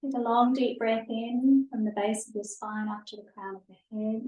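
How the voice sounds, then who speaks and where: A woman yoga instructor leading a guided stretching and breathing class in a gentle, soothing, unhurried manner, recorded live in a studio. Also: on the high side, steady tone. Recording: echoey room, distant mic.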